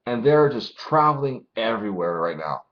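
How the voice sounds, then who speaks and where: A man's voice: fairly low pitch, big pitch swings, moderate pace, lo-fi sound. A man doing a casual, informal read-along, narrating a story with his own commentary and some swearing. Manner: informal, swearing, acts out dialogue. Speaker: manga reviewer reading chapters aloud.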